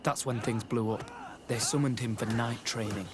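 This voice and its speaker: A man acts out a young male recruit in a video game, grim and bitter with guilt. He speaks gravely and earnestly at a middle pitch, over clearly noisy ambience. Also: steady tone, normal speed, natural voice. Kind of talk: video game cutscene acting.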